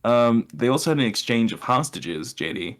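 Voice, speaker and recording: A man's voice: medium pitch, very animated, normal speed. A young man chatting casually as a podcast co-host, explaining his opinions in a sardonic way and swearing freely.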